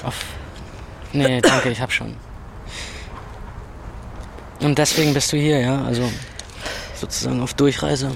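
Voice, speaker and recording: A man's voice: mid-range voice, steady tone, measured pace, gravelly, long pauses, slight background noise. An actor in his twenties playing a laid-back, defiant young music club owner in a naturalistic radio drama. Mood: awkward, dry. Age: 20s.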